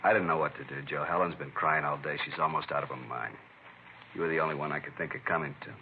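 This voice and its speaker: Upset American dad, middle-aged, very low voice, halting, anguished, old radio play, narrow noisy recording. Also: male voice, steady tone. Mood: despairing. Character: distraught middle-aged father, anguished and helpless. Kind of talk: 1950s radio drama acting.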